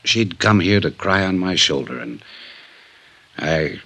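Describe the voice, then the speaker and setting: Sad brooding cowboy rancher, really deep n husky, halting, old 50s radio drama. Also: male voice, expressive, sharp tone, exaggerated voice. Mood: subdued confession. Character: remorseful lovelorn Western cattleman confessing a killing. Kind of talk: 1950s radio drama acting. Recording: muffled vintage 1950s radio recording, music.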